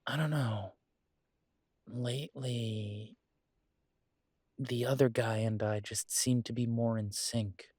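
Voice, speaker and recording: A man playing a conflicted, protective werewolf pack leader in an intimate audio roleplay, speaking hesitantly and reflectively with halting pauses. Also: mid-range voice, fairly even intonation, darkish tone, husky, no echo, studio quality.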